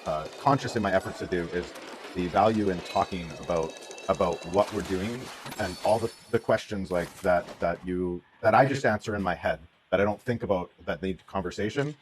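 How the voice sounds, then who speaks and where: A man's voice: low-pitched, a little fast, a little hiss or hum. A man co-hosting a casual livestream, talking reflectively and often searching for his words. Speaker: livestream co-host.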